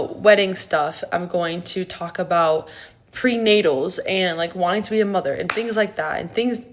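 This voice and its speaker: Candid young woman with a low voice. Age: 20s. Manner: candid and emphatic, many "like" fillers. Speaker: fitness content creator. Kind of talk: casual talking-to-camera vlog.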